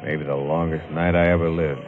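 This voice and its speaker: An American actor playing a haunted, nervous killer at the wheel in a 1950s radio thriller, with halting, muttering speech. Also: male voice, very deep voice, little pitch movement, music in the background. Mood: brooding, weary. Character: haunted, nervous, guilt-ridden male driver turned killer. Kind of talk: 1950s radio thriller acting, part narration. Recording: noisy, tinny 1950s radio recording.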